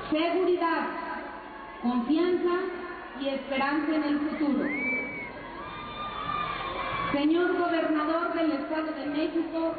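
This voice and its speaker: A middle-aged Mexican woman reading a declamatory campaign speech on an outdoor stage as a political candidate. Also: very high-pitched. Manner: declamatory, slow emphatic delivery. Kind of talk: campaign rally speech read from a paper. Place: outdoor stage at a large rally. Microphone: stand mic, recorded from far through PA. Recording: echoey PA sound from far off, tinny, crowd noise.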